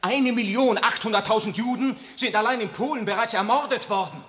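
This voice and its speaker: A zealous young priest in a 1960s radio drama, earnest and pleading. His voice sits fairly high, with a long hall reverb behind it. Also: a little fast, a bit bright. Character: young, zealous, defiant male Jesuit priest. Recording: long reverb of a large room.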